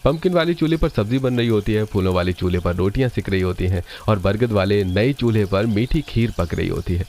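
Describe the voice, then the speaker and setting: एक आदमी जो एक कहानी को स्थिर, सधी हुई आवाज़ में सुनाता है।. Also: male voice, fairly low pitch, expressive, brisk, warm tone. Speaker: narrator. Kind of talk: scripted story narration.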